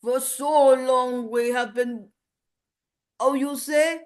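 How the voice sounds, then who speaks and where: Woman acting a theatrical French showman, slow and grand. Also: high-pitched, fairly even intonation. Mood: showy, excited. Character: theatrical, lively French showman castle host, welcoming guest. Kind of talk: acted character line in a voice lesson.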